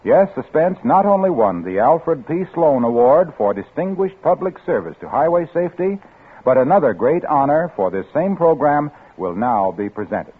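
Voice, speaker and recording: A male radio announcer with a mid-pitched, very animated, hearty delivery. He reads formally in a 1950s style, with a husky edge on a narrow, muffled old recording. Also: normal speed. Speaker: sponsor's announcer.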